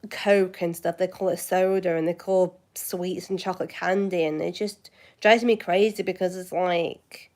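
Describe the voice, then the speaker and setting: A woman's voice: lowish voice, darkish tone. A woman from West Yorkshire with a Yorkshire accent, hosting her channel and chatting casually with viewers, rambling with many fillers and restarts. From West Yorkshire, England. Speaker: channel host. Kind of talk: casual chat answering viewers.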